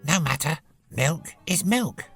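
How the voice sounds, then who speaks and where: A storybook narrator voicing a gruff little woolly toy creature from a magic land, plain-spoken and creaky. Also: medium pitch, lively intonation, slow, a bit bright, soft background music, voice pushed up, full character voice. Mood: blunt, curious.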